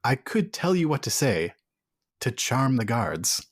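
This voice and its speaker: A woman voicing a sly female heist accomplice in a tabletop game, coaxing and prompting in a husky voice. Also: extremely low pitch, big pitch swings, measured pace, no room sound, clean studio sound, full character voice.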